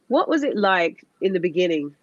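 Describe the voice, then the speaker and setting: A woman's voice: lowish voice, sing-song, normal speed, lo-fi sound. A woman hosting a sports interview show, chatty and self-deprecating as she asks questions. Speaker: show host, former Olympic athlete.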